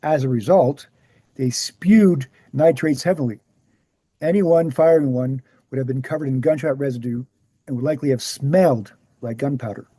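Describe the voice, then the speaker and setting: A man's voice: mid-range voice, normal speed, warm tone, slightly rough, no echo, lightly acted. A man playing a jovial, assured older researcher who can turn hard, in a screenplay table read. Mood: assured explaining. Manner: assured, explanatory.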